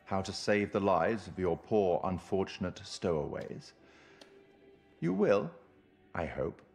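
An actor playing an enigmatic, sardonic curator of grim stories, speaking formally and wryly in a theatrical monologue. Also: male voice, low-pitched, sing-song, vocal fry, a little mellow, faint music behind, exaggerated voice. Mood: wry, probing. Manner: formal, wry, deliberate. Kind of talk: theatrical scripted monologue to the viewer.

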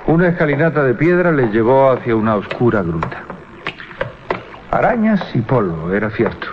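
A man narrating a radio drama, telling the story with deliberate, halting suspense. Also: mid-range voice, big pitch swings, background noise. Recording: muffled 1980s radio recording with music. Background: music.

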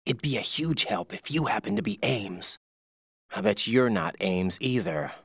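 An earnest young male agent in a video game. He speaks slowly and persistently, questioning, in a dry close recording. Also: on the low side, animated, a bit bright, slightly rough, dry recording, heavily acted. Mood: probing.

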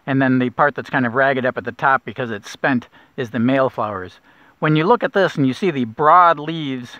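A middle-aged man guiding a kayak nature trip, explaining plants in a relaxed way with small restarts, recorded outdoors on a lake. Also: medium pitch, no echo. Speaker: naturalist guide. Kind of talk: outdoor nature walk narration. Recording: dull lo-fi outdoor audio.

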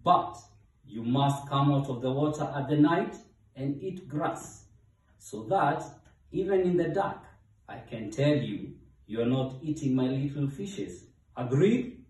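Mighty storybook god, acted by a man: suspicious, protective, thundering and deliberate, heard in heavy room echo. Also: a little high, animated, warm tone, voice pushed up. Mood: commanding. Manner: thundering, pompous, emphatic. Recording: echoey room, distant from mic.

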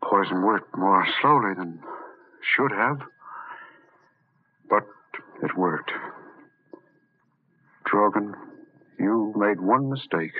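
An actor playing a grave, restrained doctor with measured, solemn delivery in an old radio drama. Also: male voice, lowish voice, lively intonation, phone-quality sound. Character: grave, restrained doctor, a married man held hostage. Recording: noisy band-limited vintage radio recording.

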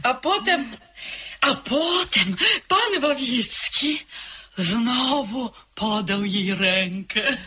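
Sweet old servant lady gossiping, super bright voice, lively up and down. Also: a little high, extremely slow, slightly rough, full character voice. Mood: reminiscing. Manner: deferential, gossipy storyteller. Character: simple, devoted old woman servant. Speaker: maid. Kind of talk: vintage radio drama acting.

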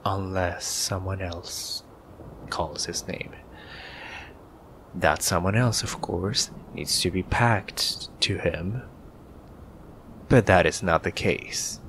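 A man's voice: low voice, slight background noise, exaggerated voice. A man playing a grumpy, shy young incubus student in an ASMR roleplay, grudging and hesitant, sometimes half-whispering. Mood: stern, sardonic. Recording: steady rain ambience behind voice.

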